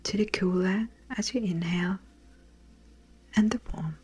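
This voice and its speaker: A woman with a breathy, whispery voice gently and soothingly guides a meditation in a measured way. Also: fairly low pitch. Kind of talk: slow guided meditation narration. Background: music.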